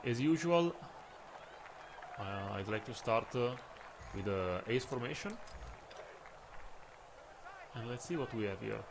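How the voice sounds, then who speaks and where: A man from Italy with an Italian accent, commenting on his own football video game stream in English, his second language, pausing often with fillers as he searches for words. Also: mid-range voice, lively intonation, creaky, noisy background.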